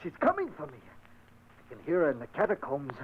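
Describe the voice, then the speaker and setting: A man's voice: fairly high pitch, wildly swooping pitch, normal speed. An actor playing a mad aristocrat tormented by his dead sister, frantic and paranoid, swinging to menace. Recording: muffled hissy old radio recording.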